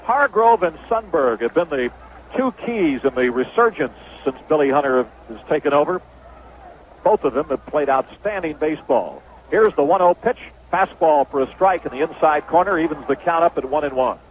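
A man's voice: fairly high pitch, animated, normal speed, narrow, tinny audio, a little hiss or hum. A man calling baseball play-by-play as radio commentary on an old broadcast.

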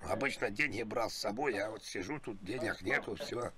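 A man's voice: fairly low pitch, brisk, a loud crowd. A man making an improvised prank phone call, rambling and repeating himself with feigned naive helplessness. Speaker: prank caller.

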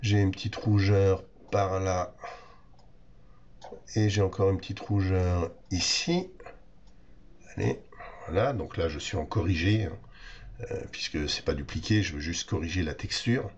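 A man with a French accent and a low voice walks through a photo edit on screen. His voice sounds stuffy from a cold. He talks in a loose, relaxed way and pauses often to think aloud. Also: a little hiss or hum. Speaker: photo editing instructor. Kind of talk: screen-recorded software tutorial.

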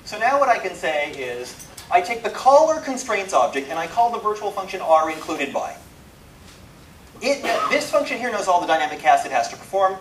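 A man giving an informal technical talk, emphatic and wryly self-deprecating, with dramatic pauses for effect. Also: a little high. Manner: animated, self-deprecating, wry humour. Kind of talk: informal live lecture to a programmer audience. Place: indoor talk room. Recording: roomy, distant mic, some background noise.

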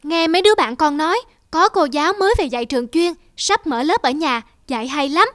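A woman with a bright, youthful voice, voicing several characters in a cartoon. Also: sits high, brisk, dry recording, clean studio sound.

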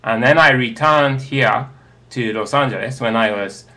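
Man, thirties, Japanese first language, slow pace, bright sharp tone, hesitant with fillers, home study on a video call. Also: medium pitch, rough recording. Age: 30s. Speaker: interview guest. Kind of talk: video call chat answering audience questions. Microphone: earbud headset on a video call.

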